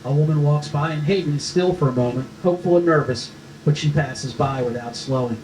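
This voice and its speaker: A man's voice: a little high, a little fast, a little mellow. A man reading the scripted action and stage directions aloud as narrator at a screenplay table read. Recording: echoey room with faint noise.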